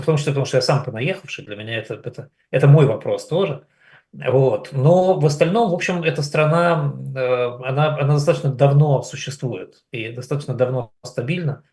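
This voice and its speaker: A man from Russia speaking as an interview guest, giving conversational analysis in a measured, wry way. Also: mid-range voice, expressive, darkish tone.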